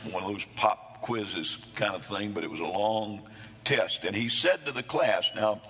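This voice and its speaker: A man preaching a sermon, his voice hoarse from losing it between services, with a folksy, self-deprecating humor. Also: lowish voice, measured pace, sharp tone, big-room sound. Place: church sanctuary.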